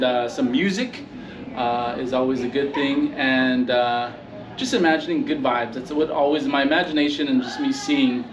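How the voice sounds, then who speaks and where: A man, an entertainer, answering interview questions casually with halting, hesitant phrasing in a distant, noisy recording. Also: mid-range voice. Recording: dull, echoey, distant, noisy room.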